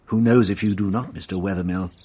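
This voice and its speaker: An actor playing a shrewd French detective inspector in a radio drama, teasing and deliberate in his theatrical delivery. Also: sits low, steady tone, a little mellow, slightly rough. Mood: shrewd, intrigued.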